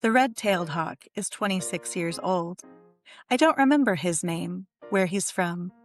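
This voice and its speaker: A woman poet reading her own poem aloud in a measured, deliberate way. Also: fairly low pitch, animated, soft background music. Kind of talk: poetry reading.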